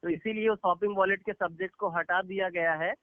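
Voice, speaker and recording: A man's voice: high-pitched, steady tone, a little fast, no room sound. A man giving a formal, explanatory business briefing as a company executive over a phone call, mixing in English business terms. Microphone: telephone. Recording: narrow, tinny phone call recording.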